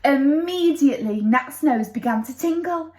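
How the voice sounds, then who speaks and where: High, animated woman reading a children's story. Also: normal speed. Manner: dramatic, playful with sound effects. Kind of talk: expressive storybook narration. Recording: echoey room.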